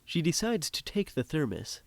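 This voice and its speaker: A man's voice: a little high, very animated, moderate pace, vocal fry, dry recording. A man narrating a scripted audio drama in a wry, teasing manner.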